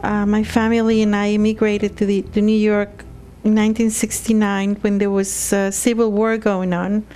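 A woman's voice: mid-range voice, flat delivery, normal speed, dark tone, faint music behind. A woman from El Salvador, speaking candidly and reflectively in a documentary interview. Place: indoors in a living room.

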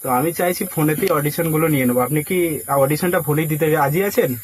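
A man's voice: a little high, fast, slight background noise. A man talking casually and persuasively on a prank call, coaxing and giving instructions like a casting agent. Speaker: prank caller posing as a film casting agent.

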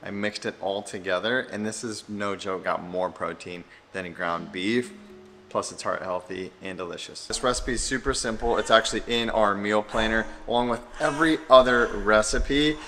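A man's voice: on the low side, normal speed, bright, forceful tone, faint music behind. An American man in his thirties chatting casually to the camera as a vlogger, in an informal, easygoing way. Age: 30s. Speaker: family vlogger. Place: mostly outdoors in a garden. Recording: noisy outdoor camera audio.

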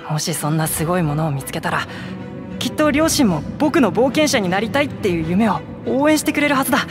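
Eager young guy adventurer, anime game, swingy highish voice, music behind. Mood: pleading. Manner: earnest, admiring. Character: earnest young male novice adventurer, admiring and eager. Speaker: game character: novice adventurer. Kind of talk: Japanese anime game voice acting.

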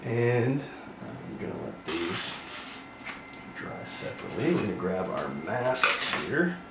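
A man's voice: on the low side, very animated, slow pace. A Canadian man from northwestern Ontario talking casually through a crafting tutorial as he paints a model, chatty and rambling. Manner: rambling, chatty, talks while working. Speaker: miniature crafting host. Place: indoor hobby workbench. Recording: roomy, distant mic with loud background noise.

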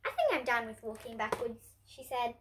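A girl of about ten to twelve reading a picture book aloud to young children in an expressive, presentational way. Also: fairly high pitch, moderate pace, noisy background. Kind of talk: storybook read-aloud for children. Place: indoors at home, in front of bookshelves.

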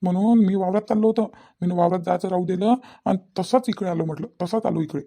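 A woman plays an aggrieved village farmer and father in a comedy cartoon. He speaks very fast in a low, mellow, fairly flat voice with a Varhadi Marathi accent, pressing his questions again and again. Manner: insistent, repetitive questioning. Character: worried middle-aged village father, farmer, protective and aggrieved.

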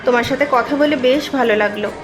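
A woman voicing a kindly, curious train passenger in an animated story, friendly and appreciative in manner. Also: on the high side, quick pace, music in the background, lightly acted. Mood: approving. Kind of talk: Bengali animated story dialogue.

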